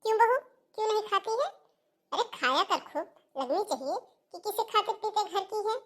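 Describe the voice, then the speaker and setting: A woman voicing a bossy, taunting older mother-in-law in a cartoon family drama, with a sharp, nagging manner. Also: very high voice, lively intonation, a little fast. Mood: insistent.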